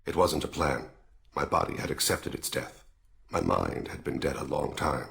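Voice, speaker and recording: Very deep, gravelly alien assassin. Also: male voice, steady tone, moderate pace, exaggerated voice. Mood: resigned gratitude. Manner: formal, quietly philosophical. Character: soft-spoken, weary male alien assassin, courteous and reflective. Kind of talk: dramatic video game dialogue.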